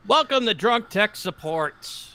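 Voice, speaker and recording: A man's voice: high-pitched, lively intonation, measured pace, sharp tone, a little hiss or hum. A man co-hosting a casual podcast, congested and nasal, teasing his co-host in a dry, sarcastic way. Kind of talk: casual chat.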